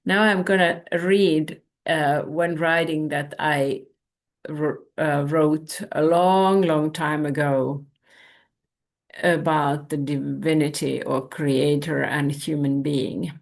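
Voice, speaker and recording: Woman, Finnish native speaker, spiritual channel host; low, unhurried, contemplative delivery with gravelly texture. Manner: calm, measured, contemplative. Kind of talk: reflective spiritual talk.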